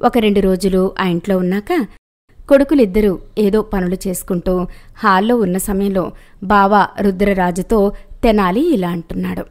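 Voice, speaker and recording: A woman's voice: medium pitch, moderate pace. A woman reading a scripted moral story as its narrator.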